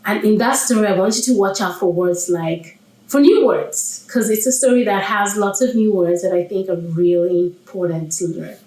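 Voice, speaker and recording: A woman's voice: medium pitch, normal speed, darkish tone. A woman hosting a children's read-aloud, talking playfully to young listeners and asking them questions. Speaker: children's storyteller and host. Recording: roomy echo with music.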